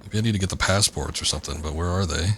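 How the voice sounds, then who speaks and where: A man with a gravelly voice giving rambling, joking commentary while streaming a game. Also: low-pitched, racing, fairly bright tone, a little hiss or hum. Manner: rambling, laid-back, joking. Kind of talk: casual gameplay commentary.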